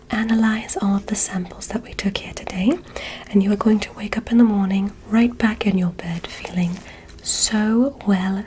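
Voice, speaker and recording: A woman doing a whispered ASMR roleplay as a polite, curious alien examiner, speaking in a gentle, breathy, soothing way. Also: medium pitch, a little hiss or hum, low-quality recording. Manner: slow, gentle, soothing bedside delivery. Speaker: ASMR performer.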